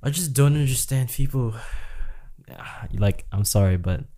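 A woman's voice: very deep voice, expressive, a little slow, dark tone. A young woman co-hosting a podcast, chatting casually with friends in a frank, dry, joking way, full of "like" fillers. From United States. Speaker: podcast co-host. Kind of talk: casual chat among friends.

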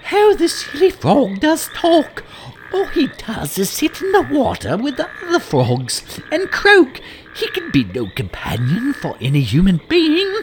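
A man voicing a spoiled young princess in a shrill falsetto, in a fairy-tale reading. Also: wildly swooping pitch, a little slow, a little hiss or hum, exaggerated voice. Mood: scornful.

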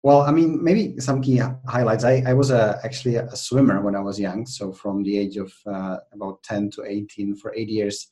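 Guest: man, Czech accent, low voice, lively, filler-heavy storytelling. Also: moderate pace, few pauses. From Czech Republic. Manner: animated, many fillers and restarts. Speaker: podcast guest. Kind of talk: conversational interview.

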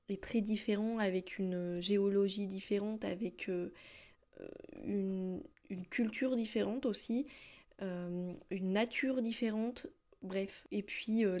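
A woman's voice: medium pitch, dark tone. A young woman from France hosting her own podcast, talking through her thoughts with many fillers and restarts. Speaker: podcast host. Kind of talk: solo podcast talk.

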